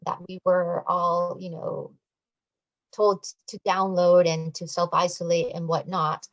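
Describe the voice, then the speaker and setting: A woman's voice: on the low side, no room sound, rough recording. A woman explaining a community campaign as a guest in a radio discussion, speaking over an online call.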